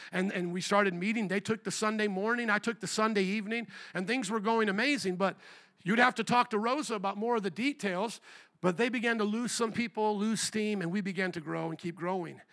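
A middle-aged man from Indiana preaching a sermon as pastor, animated, rising to near shouts on rhetorical questions. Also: high voice. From Indiana, USA.

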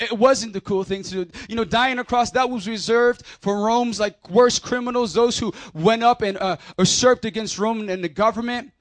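Man preacher, high voice, casual and emphatic, close dry sound, calls for repeat-after-me. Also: no room sound. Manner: casual, emphatic preaching with slang and call-and-response. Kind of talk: sermon. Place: conference hall.

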